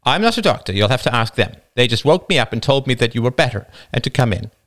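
A man voicing an older wife in a storybook reading, speaking in a brisk, dryly teasing way. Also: medium pitch, lively intonation, a bit bright, pitched above the performer's own voice, lightly acted. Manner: dry teasing delivery.

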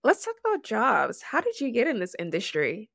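A woman hosting a podcast interview who reacts quickly and encourages her guest. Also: a little high, wildly swooping pitch, vocal fry, fairly bright tone, no echo, clean studio sound. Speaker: podcast host.

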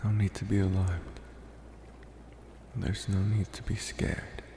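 A man playing a polite, infatuated, mysterious vampire in an ASMR roleplay, talking slowly and reassuringly with hesitant pauses. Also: sits low, little pitch movement, warm tone, echoey room, in a large hall, slight background noise. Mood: calm, reassuring. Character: soft-spoken male college-age vampire, politely infatuated, mysterious.

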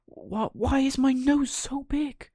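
A man voicing a naive, excitable wooden puppet boy who stammers as he explains, for a children's storybook. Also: extremely high pitch, slow, dry recording, studio quality, voice pushed up, heavily acted. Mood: distressed. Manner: hesitant, stammering when explaining.

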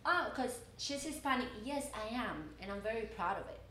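A woman's voice: a little high, expressive, a little fast, a bit bright, roomy sound, a little hiss or hum. A woman in her twenties from Cuba, speaking English with a Cuban Spanish accent in a casual chat, often repeating words as she starts a thought. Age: 20s. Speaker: journalism student guest.

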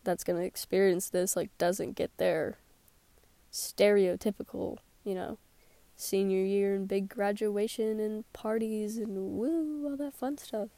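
A woman's voice: mid-range voice, dry recording. A teenage girl with a sore throat, chatting casually and sarcastically on her own podcast. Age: teens. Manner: sarcastic, rambling, laughs often. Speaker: podcast host. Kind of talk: casual solo podcast chat. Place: small closet.

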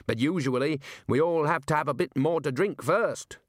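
A man's voice: fairly high pitch, normal speed, dry recording, studio quality, close to an everyday voice. A man voicing a grumbling, self-indulgent older male wizard with a sardonic manner.

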